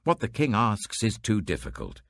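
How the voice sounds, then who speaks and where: A man's voice: on the low side, wildly swooping pitch, moderate pace, fairly bright tone, no echo, clean studio sound, pitched above the performer's own voice, full character voice. A British man voicing a deferential court astrologer pleading formally with a king in a scripture audiobook.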